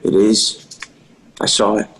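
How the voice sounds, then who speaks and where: An actor playing an earnest, guilt-ridden young leader in a table read over a video call. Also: male voice, slow, lightly acted, poor audio. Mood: grave, guilty. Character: earnest, guilt-ridden young man leading trapped boys. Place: remote video call reading.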